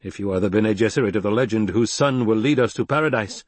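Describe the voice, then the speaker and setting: A man voicing a stern, proud leader of a desert tribe, grave and forceful. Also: fairly low pitch, fast talker, no room sound, natural voice.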